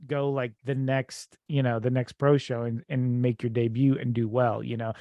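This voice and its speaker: A man's voice: medium pitch, flat delivery, normal speed, mellow, no echo. A man hosting a casual podcast interview, rambling with lots of fillers and restarts. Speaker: podcast host.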